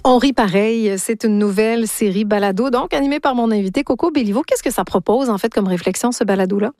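Female radio host, fairly high voice, articulate and polite in address, dry studio-close sound. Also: brisk, darkish tone, dry recording, faint music behind. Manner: articulate, formal address to guests. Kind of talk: broadcast interview hosting.

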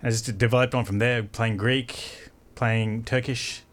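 A man's voice: medium pitch, steady tone, a little slow, fairly bright tone. A man from Australia, a percussionist talking loosely and laid-back in an interview. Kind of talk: casual interview answers.

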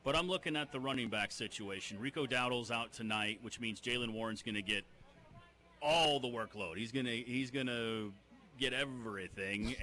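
Male radio co-host, bright and forceful, teasing banter, studio headset. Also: mid-range voice, a little hiss or hum. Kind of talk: casual sports talk.

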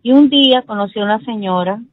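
A woman's voice: a little high, fast, no room sound. A woman in her sixties from Peru calling a radio show and earnestly telling a family story. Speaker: caller. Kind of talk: telling a personal story on a call-in. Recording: dull phone line.

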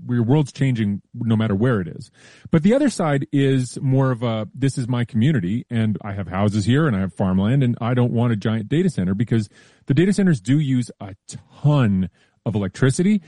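A man explaining science news in a relaxed, careful way during a casual chat, with frequent hedges and restarts. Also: medium pitch, no room sound, studio quality. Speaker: science columnist.

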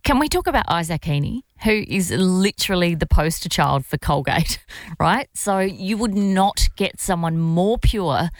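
A woman co-hosting a radio show, chatting casually with quick reactions. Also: fairly low pitch, expressive, dry recording. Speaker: radio co-host.

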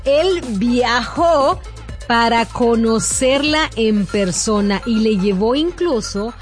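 Gossip radio lady, playful and chatty, kinda high voice, faint music behind. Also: animated, takes it slowly. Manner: chatty, playful, laughs often. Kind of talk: casual celebrity gossip chat.

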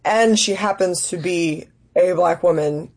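A woman's voice: lowish voice, a little slow. A woman from Denmark being interviewed, speaking reflectively and searching for her words in a conversational way. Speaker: interview guest, actress and writer.

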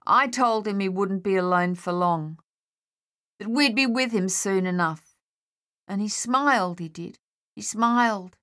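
A woman's voice: mid-range voice, measured pace, fairly bright tone, natural voice. A woman voicing a young Australian male soldier in an audiobook, shell-shocked and grieving, speaking in an anguished, insistent ramble. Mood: grieving, desperate. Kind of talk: audiobook character voice.

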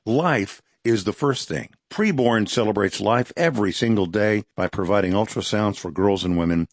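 Man, scripted ad read, earnest, low voice, dry close sound. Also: normal speed, no room sound. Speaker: ad announcer.

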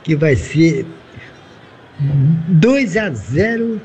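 A Brazilian man leaving a voice note for a radio show, slow and halting, his tone dark and mellow. Faint noise hangs in the background. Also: a little high, very animated. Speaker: listener caller.

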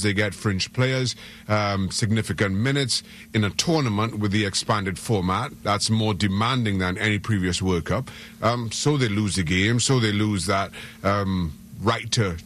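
A man with a fairly low voice gives soccer analysis on a radio show. He is middle-aged and from Trinidad and Tobago. He speaks earnestly and stumbles over repeated words. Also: fairly bright tone. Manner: earnest, frequent stammered repeats.

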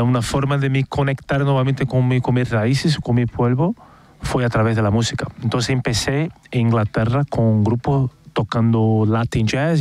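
Man, Brazilian Portuguese accent, middle-aged, radio guest, halting, repeats words, dry close sound. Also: mid-range voice, a little mellow, dry recording. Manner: halting, repeats words while searching for them. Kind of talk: casual interview talk.

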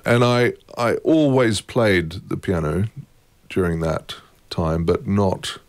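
Brief: middle-aged male guest, deep tone, easygoing and self-mocking, dry studio sound. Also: expressive, slow, no echo. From born in England, raised in Germany and Australia. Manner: wry, self-deprecating, chatty with laughs. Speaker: guest, opera music head. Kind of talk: relaxed conversational interview.

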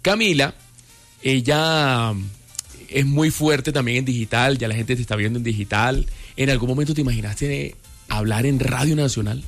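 Man, radio host, playful and teasing, mid pitch, faint music behind. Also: moderate pace. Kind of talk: casual radio chat.

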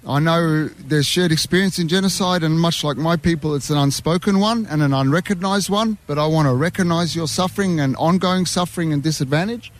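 A man's voice: fairly high pitch, brisk, few pauses. Talkative man, rambling. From Armenian heritage. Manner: talkative, rambling, many fillers. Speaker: interview guest, youth worker. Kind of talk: casual chat. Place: outdoor community event.

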